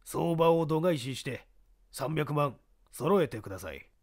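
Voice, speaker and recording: A man voicing a shrewd baseball talent scout who talks in a measured, persuasive way in an audiobook. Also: on the high side, animated.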